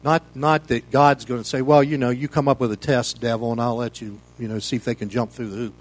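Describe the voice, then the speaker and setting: Male pastor, American, mature. Informal, conversational preaching, folksy and meandering, medium pitch. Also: fairly even intonation, a little fast. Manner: folksy, rambling, peppered with "you know". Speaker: pastor leading a Bible study. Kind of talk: informal sermon, conversational teaching.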